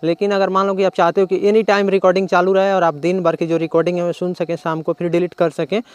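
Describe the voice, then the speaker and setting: Indian guy doing a phone tutorial, pretty high clear voice, explains stuff then says it again another way. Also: flat delivery, fast talker, no echo. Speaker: tech channel host. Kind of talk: informal phone tutorial narration.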